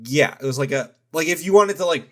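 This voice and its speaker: A man's voice: a little high, expressive, quick pace, fairly bright tone. A man co-hosting a podcast in a casual chat. He rambles and hedges, often stopping to correct himself mid-sentence. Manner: rambling, hesitant, self-correcting. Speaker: podcast co-host.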